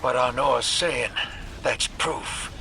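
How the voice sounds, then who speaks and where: An actor playing a resigned, guilt-driven fighter with a gravelly voice in a sci-fi video game cutscene. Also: male voice, medium pitch, takes it slowly, heavy background noise. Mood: resigned, anguished.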